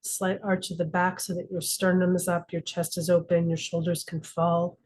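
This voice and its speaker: A woman leading a guided meditation as a teacher, calm and instructive, speaking in slow, deliberate phrases with frequent pauses. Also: fairly low pitch. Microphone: video call class.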